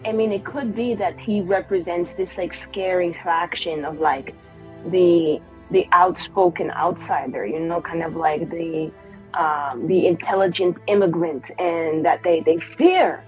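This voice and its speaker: A woman chatting in character during a tabletop roleplaying game, animated, acting out the lines of the people she quotes. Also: fairly low pitch, a little slow, faint music behind, rough recording. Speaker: player. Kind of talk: casual in-character roleplay chat.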